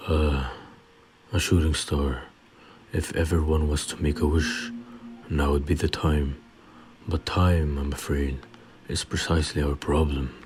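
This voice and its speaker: A man playing a wise, elderly wizard headmaster with measured, cryptic phrasing in an audio play. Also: extremely low pitch, level tone, background noise. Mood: grave, cryptic. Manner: measured, deliberate, portentous phrasing. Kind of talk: dramatic audio play acting.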